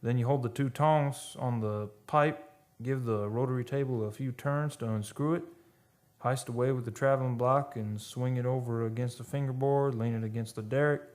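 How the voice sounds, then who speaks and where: A man acts out a plain-spoken American oil field hand in a radio play. He has a mid-range voice and an easygoing, folksy manner, with rough grammar and a storyteller's way of explaining things. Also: mid-range voice, fairly even intonation, moderate pace, darkish tone, close to an everyday voice. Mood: matter-of-fact storytelling. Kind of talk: radio play acting and narration.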